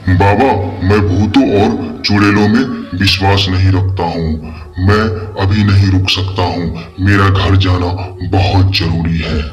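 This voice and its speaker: A man playing an earnest, measured adult office worker in a children's cartoon story. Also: sits low, fairly even intonation, faint music behind. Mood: stubborn. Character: earnest adult male office worker, worried, stubborn sceptic.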